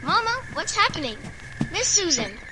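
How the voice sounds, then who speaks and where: A woman's voice: extremely high pitch, big pitch swings, moderate pace, background noise. A woman playing a sweet, frightened young boy in a radio drama, with a childlike, plaintive way of talking. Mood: scared.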